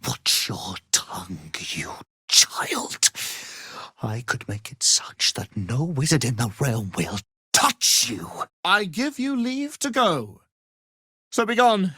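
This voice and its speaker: A man's voice: a little high, sharp tone, dry recording, studio quality, exaggerated voice. A man playing a haughty, scheming wizard in a fantasy video game, with a pompous, theatrical, condescending manner. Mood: indignant, threatening. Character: haughty, scheming adult male wizard, vain and menacing.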